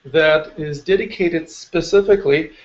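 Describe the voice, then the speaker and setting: A man from eastern Ukraine addressing a meditation circle in a calm, measured way, pausing often with small fillers. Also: on the high side, lo-fi sound. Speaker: meditation teacher. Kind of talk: slow spoken address to a meditation group.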